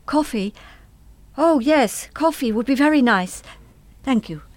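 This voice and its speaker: Wistful German woman, played by an actress, reflective and hesitant, higher-set voice, dry studio radio drama. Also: expressive, no room sound. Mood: polite, curious. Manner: reflective, halting, trailing into reverie. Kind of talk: radio play acting.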